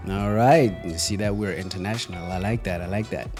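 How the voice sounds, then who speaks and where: A man hosts a football podcast, welcoming his guests with upbeat, announcer-style introductions. His voice is mellow and dark. Soft music plays faintly underneath. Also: deep voice, a little fast. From South Africa. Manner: upbeat, announcer-like intros, friendly back-channel. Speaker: podcast host. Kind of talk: hosting a podcast interview.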